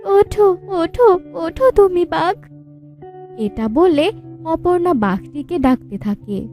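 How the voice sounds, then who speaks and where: A woman's voice: fairly high pitch, dark tone. A woman reading scripted narration for a children's story. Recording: music under the voice. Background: music.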